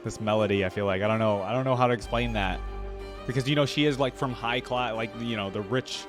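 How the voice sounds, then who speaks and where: A man's voice: medium pitch, fast talker. Enthusiastic man reacting casually over music. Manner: informal, enthusiastic, slangy. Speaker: host of a fan reaction channel. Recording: music playing behind the voice. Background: video game theme music.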